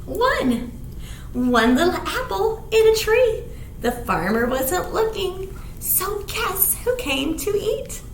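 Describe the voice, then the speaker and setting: Very high-pitched, sing-song woman reading to children. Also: sing-song, slow. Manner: sing-song, exaggerated, with dramatic gasps and simple explanations for little ones. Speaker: children's storytime presenter at a library. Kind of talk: picture-book read-aloud and rhymes for young children. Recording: roomy echo, clear background noise.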